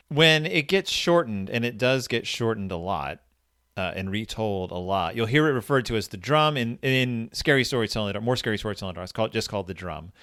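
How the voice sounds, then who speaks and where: Low-voiced man, dry close sound. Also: a bit bright, no room sound. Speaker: podcast host. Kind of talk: casual discussion of a story.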